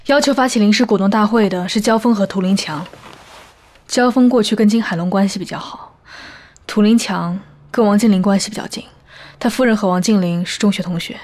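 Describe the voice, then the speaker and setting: A woman playing a crisp, cool executive. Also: mid-range voice, a little fast, a little mellow, close to an everyday voice. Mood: businesslike. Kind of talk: amateur audio drama acting.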